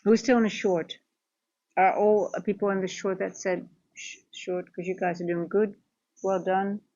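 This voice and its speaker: A woman's voice: medium pitch, level tone, a little slow, darkish tone, muffled recording. A tired woman from the Netherlands with a Dutch accent, chatting casually through a live trading stream and answering viewers.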